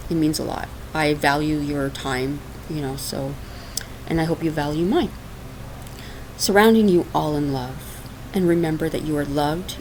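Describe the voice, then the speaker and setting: A woman hosting a spiritual podcast in a casual, chatty way, laughing often and using lots of fillers, recorded on a laptop microphone at home. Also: low voice. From Portugal. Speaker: podcast host and minister. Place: dark hot room at home. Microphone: laptop microphone on her lap. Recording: raw home laptop recording with faint hiss.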